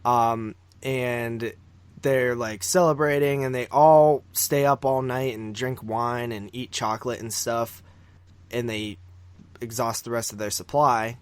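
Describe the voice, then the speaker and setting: A man's voice: medium pitch, steady tone, a little slow, smooth, dry recording. A man co-hosting a podcast, casually recapping a film in a rambling, informal way with some swearing. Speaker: podcast co-host.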